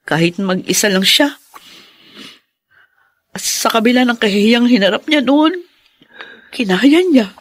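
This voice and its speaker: A devoted, encouraging wife and daughter-in-law from a radio drama. Her voice sits fairly high and she takes her time, heartfelt and earnest. The sound is dry and close. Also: animated, unhurried, long pauses, no echo. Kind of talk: Filipino radio drama acting.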